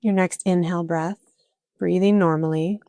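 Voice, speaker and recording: A woman guiding a meditation as a yoga nidra teacher, speaking calmly and soothingly in short phrases with long pauses. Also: fairly low pitch, no room sound. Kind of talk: guided meditation, slow spoken instruction.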